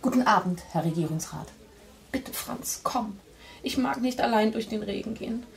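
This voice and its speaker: Higher-voiced, poised young woman. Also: lively intonation, normal speed, vocal fry. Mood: polite, urging. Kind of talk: radio drama acting.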